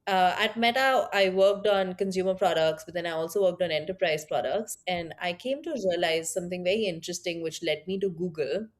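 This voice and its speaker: A woman's voice: on the low side, brisk, hardly any pauses. A woman from India with an Indian accent, speaking thoughtfully as an interview guest, with fillers and restarts. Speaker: guest, tech product leader. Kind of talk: conversational interview.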